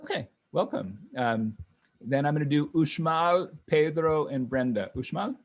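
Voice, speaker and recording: A man hosting an online session, calling on participants one by one in a relaxed, casual way with many fillers. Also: fairly high pitch, measured pace. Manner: relaxed, friendly, lots of fillers. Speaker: host of online class session. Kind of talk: casual introductions on a video call. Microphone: video call.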